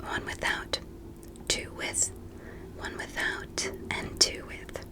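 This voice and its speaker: A woman whispering in an ASMR medical roleplay as a curious, polite alien doctor, speaking gently and deliberately with soft amazement. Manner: gentle, deliberate, repetitive, softly amazed at small things. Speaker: ASMR performer playing an examiner.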